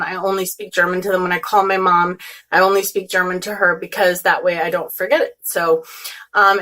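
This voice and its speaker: A young American woman talks straight to her viewers in a loose, friendly vlog, with no regional accent. Her voice has a bright, clear edge, and she often trails into ums and restarts as she goes. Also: fairly low pitch. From United States. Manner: chatty, rambling, many ums and restarts. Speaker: beauty vlogger. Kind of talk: casual direct-to-camera vlog chat.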